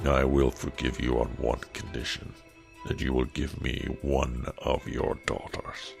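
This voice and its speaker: A man putting on a deep, grim beast. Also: flat delivery, creaky, heavily acted. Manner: slow, menacing, deep growl. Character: frightful male beast, furious and grim. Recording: narration with music behind.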